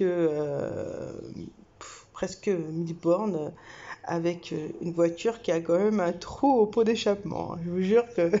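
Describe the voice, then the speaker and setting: A woman's voice: mid-range voice, muffled recording. A young woman from France, telling a story casually to camera with lots of fillers and laughter. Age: young adult. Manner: chatty, many fillers and laughs, animated swings. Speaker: vlogger.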